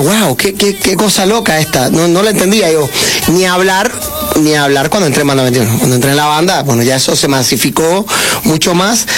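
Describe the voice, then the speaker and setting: A Cuban-accented man with a high voice talks quickly through his stories as a radio guest. He tells them in an animated way, slipping into slang, and faint music plays behind him in the studio. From Cuba. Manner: animated storyteller, jumps into playful slang. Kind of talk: casual radio interview.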